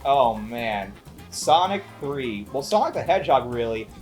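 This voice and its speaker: A man's voice: mid-range voice, big pitch swings, a little slow, soft background music. A man co-hosting a casual, conversational film review show. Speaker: co-host of a movie review show.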